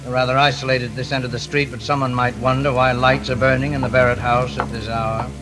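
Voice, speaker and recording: A man's voice: medium pitch, flat delivery, fast talker, loud music behind the voice, natural voice. Man acting a coldly polite, menacing intruder. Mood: uneasy politeness. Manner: formal, commanding, icily courteous. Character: cold, menacing male fugitive intruder, mockingly polite. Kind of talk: 1940s radio acting. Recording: faintly hissy vintage radio recording.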